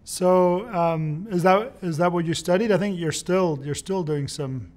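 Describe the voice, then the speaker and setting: A man hosts a relaxed interview, asking questions with friendly curiosity. His voice sits a little higher than most men's. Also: unhurried. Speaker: host. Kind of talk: casual interview chat.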